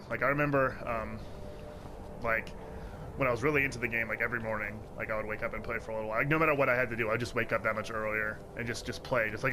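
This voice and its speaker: A man in his twenties giving casual, rambling commentary over gameplay, full of "like" fillers and jumping up in pitch when excited. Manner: rambling, heavy use of "like" fillers. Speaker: let's play commentator. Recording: steady noise behind voice.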